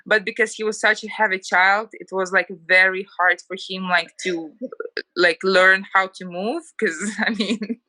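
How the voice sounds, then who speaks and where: A woman in her thirties from Ukraine speaks in a bright, forward voice with a Ukrainian accent. She chats loosely as an interview guest and strings her thoughts together with many "like" fillers, recorded dry with no room sound. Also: mid-range voice, steady tone, normal speed, dry recording. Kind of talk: casual interview chat.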